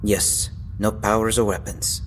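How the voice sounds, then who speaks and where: A man's voice: fairly low pitch, little pitch movement, measured pace, a little hiss or hum, close to an everyday voice. A man acting in an audio drama as a young, casual and cocky fighter who narrates his own story in a wry way. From Philippines. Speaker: voice actor narrating in character. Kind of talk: audio drama dialogue and first-person narration. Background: background music.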